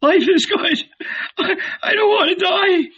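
A man voicing a terrified young woman, a hunted sinner, with desperate, high pleading cries in an audiobook reading. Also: wildly swooping pitch, unhurried, gravelly, sharp tone, muffled recording, exaggerated voice. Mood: sobbing.

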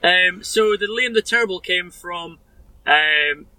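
A Yorkshire man in his thirties chats as a guest, circling his point with false starts and self-deprecating asides. His voice sits high and is strikingly bright, recorded dry and close. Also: takes it slowly, dry recording, low-quality recording. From Sheffield, England. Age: 30s. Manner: rambling, self-deprecating, restarts sentences. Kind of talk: casual chat.

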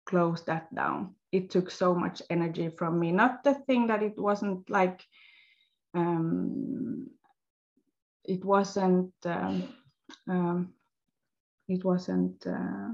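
Lady, slow and halting, kinda low gravelly voice, muffled lo-fi sound. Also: fairly even intonation, darkish tone. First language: Swedish. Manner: halting, searching for words. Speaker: guest, health coach. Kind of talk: interview storytelling.

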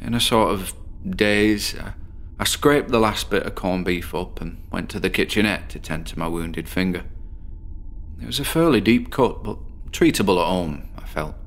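A morbid British loner from a shabby bedsit, confessing in a horror audio drama. His voice is low, and he rambles wearily and hesitantly, full of self-deprecation. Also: moderate pace, fairly bright tone. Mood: glum.

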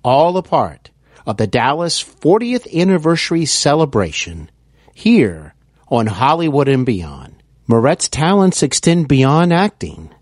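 A man hosting an interview podcast, reading a scripted promo with slow, deliberate delivery. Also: a little high, big pitch swings, dry recording, clean studio sound. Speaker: podcast host.

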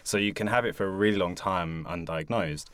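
Guest voice: young adult from England, low-pitched, earnest and reflective, dry booth sound. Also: male voice, a little fast, a bit bright, no echo. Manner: reflective, earnest, many fillers. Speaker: guest, support group founder. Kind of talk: conversational interview.

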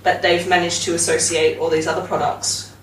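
A woman's voice: fairly low pitch, little pitch movement, brisk. A woman with an Australian accent, co-hosting a podcast and summing up thoughtfully, with plenty of ums. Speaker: podcast host. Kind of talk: casual chat. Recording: echoey, distant mic with faint hiss.

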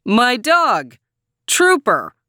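A woman voicing a friendly, patient man in a storybook who speaks loudly and emphatically to be heard. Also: fairly high pitch, lively intonation, moderate pace, smooth, no echo, clean studio sound, pitched above the performer's own voice. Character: friendly, patient man shouting to a deaf neighbor. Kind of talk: storybook character dialogue.

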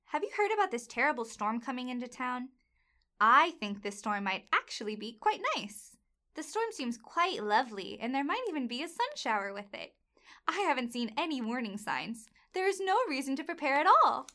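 Woman playing a bright, high, self-important storybook bird. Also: big pitch swings, moderate pace, full character voice. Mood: cheerfully oblivious. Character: chatty, naively optimistic bird, self-styled main character. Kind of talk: comic audio drama acting.

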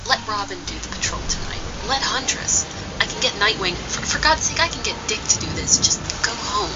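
A woman's voice: mid-range voice, animated, brisk, heavy background noise, lightly acted. A woman playing a vigilante's worried, loyal ally in an audio drama, pleading with emotional insistence. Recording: muffled audio under loud music.

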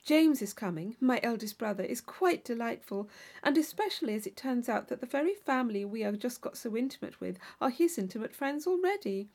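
A woman voicing a naive, eager young country gentlewoman in a classic novel audiobook, earnest and enthusiastic. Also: on the high side, expressive, brisk. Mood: gushing enthusiasm.

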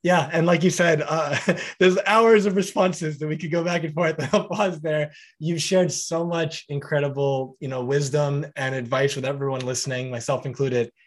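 Man, podcast host, slightly high voice, encouraging, upbeat wrap-up talk. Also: steady tone, moderate pace, hardly any pauses. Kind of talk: casual podcast interview.

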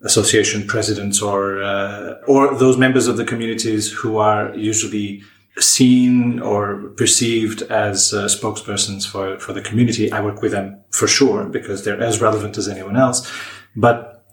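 Guest linguist, male, measured and deliberate, roomy sound. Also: fairly low pitch, steady tone. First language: Portuguese. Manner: measured, thoughtful, frequent restarts. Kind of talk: podcast discussion. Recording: roomy echo.

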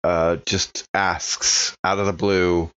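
A man's voice: low voice, little pitch movement, slow pace, fairly bright tone, smooth. A man who is a player in a tabletop game, talking casually and describing his character's actions, on a somewhat lo-fi microphone.

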